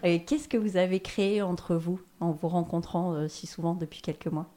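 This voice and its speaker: A woman's voice: on the low side, normal speed, dark tone. A woman interviewing a band, prompting them with questions. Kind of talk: radio-style interview.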